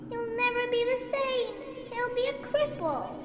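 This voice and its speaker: A woman's voice: very high-pitched, unhurried, over loud music. An actress playing a small, earnest, dramatic little girl in a video game cutscene, with a childlike, plaintive delivery. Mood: distraught. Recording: roomy, loud background ambience.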